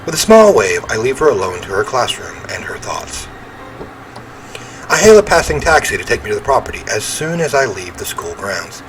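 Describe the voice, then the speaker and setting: A man's voice: mid-range voice, very animated, moderate pace, fairly bright tone, slight background noise, rough recording. A man reading a visual novel aloud as a game streamer, mixing dramatic narration with wry casual asides. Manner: throat-clearing, wry asides.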